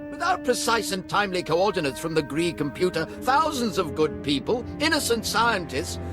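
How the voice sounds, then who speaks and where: An actor playing a bitter, indignant Imperial scientist in a video game, haughty and dramatic in his accusations. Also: sits high. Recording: music under the voice.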